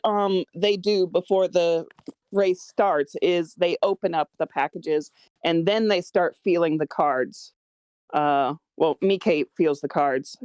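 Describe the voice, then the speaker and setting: A woman's voice: low-pitched, a little mellow, no echo. A woman talking casually and hesitantly about her own script, often stammering as she explains her choices. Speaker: screenwriter discussing own script. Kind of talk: casual feedback discussion.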